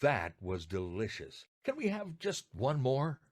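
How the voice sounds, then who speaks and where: A man's voice: mid-range voice, huge pitch swings, a little slow, soft background music. An American man co-hosting, giving scripted introductions and friendly banter. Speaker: podcast co-host.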